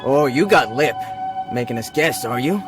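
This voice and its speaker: An actor playing a sneering, streetwise bandit in a video game, slangy, mocking and swaggering. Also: male voice, medium pitch, animated, normal speed, natural voice. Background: game music.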